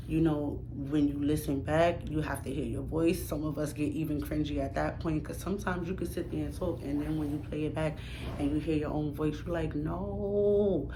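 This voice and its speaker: A young woman with a low voice and a New York accent talks straight to her camera in a casual vlog. She is emphatic and direct, with plenty of slang, and she keeps circling back to the same points. Steady background noise sits under her voice. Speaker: new YouTuber.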